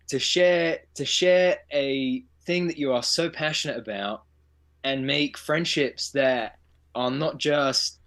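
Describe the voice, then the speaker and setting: A man's voice: mid-range voice, fairly bright tone. Earnest man, heartfelt co-host. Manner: earnest, heartfelt, rushing on in long sentences. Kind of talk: casual live stream chat.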